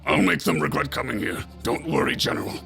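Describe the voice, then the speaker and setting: A man voicing a gruff, defiant rebel commander in a video game fan dub, with a raspy, gravelly voice. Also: mid-range voice, sing-song, quick pace, a bit bright, soft background music, exaggerated voice. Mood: menacing.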